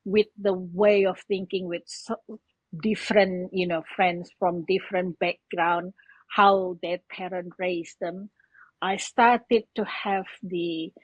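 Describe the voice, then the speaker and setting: Woman, Indonesian accent, breathy husky voice, halting personal storytelling, dry lo-fi sound. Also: lowish voice, fairly even intonation, no echo. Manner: halting, non-native English phrasing. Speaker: podcast guest. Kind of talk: personal story told in conversation.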